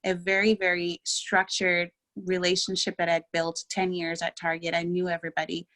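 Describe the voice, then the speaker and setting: A woman's voice: fairly low pitch, monotone, moderate pace, fairly bright tone. A woman from Ghana sharing career advice on a panel in a direct way. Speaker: panelist, operations and strategy leader.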